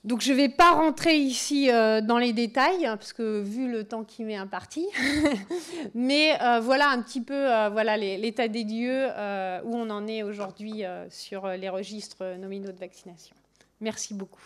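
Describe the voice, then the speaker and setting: A woman's voice: a little high, moderate pace, fairly bright tone. A woman giving a conference presentation, hesitant, with many fillers and restarts.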